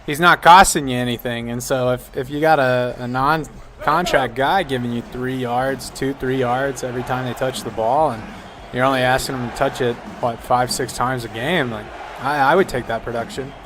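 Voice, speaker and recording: Male color commentator, mid-pitched voice, chatty and rambling, casual delivery. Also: steady tone, moderate pace, noisy background. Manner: chatty, rambling, with frequent restarts and "you know".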